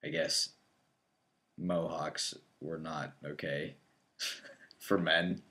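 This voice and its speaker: A young man in his early twenties talks plainly with a dry, wry touch. His voice sits low as he co-hosts a casual chat. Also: flat delivery, very slow, sharp tone. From northeastern Ohio. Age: 20s. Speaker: co-host.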